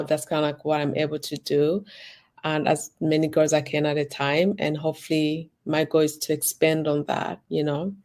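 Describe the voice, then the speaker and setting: A woman's voice: deep voice, a little mellow. A woman born in Uganda and raised in Sweden, chatting as a guest in an interview, talking in loose rambling sentences. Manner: rambling, many restarts and "like"s. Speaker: guest, designer and business owner. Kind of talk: casual interview chat.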